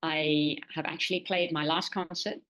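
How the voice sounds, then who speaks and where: An older woman reflecting casually as an interview guest, halting and repeating phrases as she thinks. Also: deep voice, little pitch movement, fairly bright tone, rough recording. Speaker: interview guest, adult language learner.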